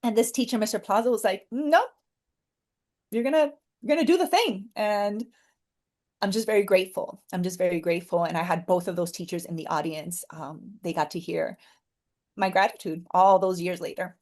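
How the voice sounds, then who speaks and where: A woman's voice: fairly low pitch, fairly even intonation, brisk, darkish tone. A woman speaking as a podcast guest in an earnest, encouraging, heartfelt conversational way, repeating phrases for emphasis.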